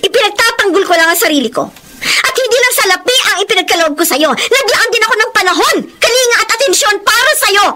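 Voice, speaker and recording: An actress playing an impassioned, defiant mistress who pleads emotionally in a Tagalog radio drama. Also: female voice, very high-pitched, animated, quick pace, bright, forceful tone, exaggerated voice. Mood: defiant, wounded. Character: impassioned mistress defending her love for a married man.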